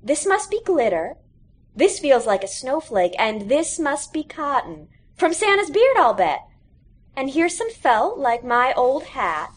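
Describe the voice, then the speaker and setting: Woman playing folksy high-voiced storybook mother. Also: sing-song, measured pace, husky, full character voice. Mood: tender, delighted. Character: homebound blind mother, folksy, hospitable, affectionate.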